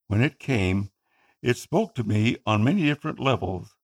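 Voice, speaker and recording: Earnest gravelly man. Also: medium pitch, expressive. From Philippines. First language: Tagalog. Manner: earnest, measured reading. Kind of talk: scripted first-person narration.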